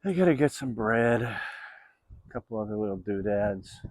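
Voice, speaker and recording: Rambling sixties man vlogging from his bike, low-pitched, dry close sound. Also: lively intonation, normal speed, a little mellow, dry recording. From Florida, USA. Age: 60s. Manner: rambling, chatty, with many fillers. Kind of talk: casual vlog narration while riding an e-bike. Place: outdoors on a bike trail.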